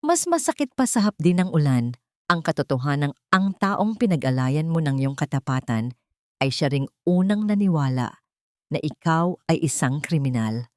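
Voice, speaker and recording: Female audiobook narrator, Filipino accent, low pitch, dramatic scripted storytelling, very dry sound. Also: big pitch swings, normal speed, dry recording.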